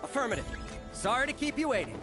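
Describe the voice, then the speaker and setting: A man's voice: very high-pitched, sing-song, quick pace, loud music behind the voice. A man voicing a brash, blunt fighting ally in a video game. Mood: brisk, urgent.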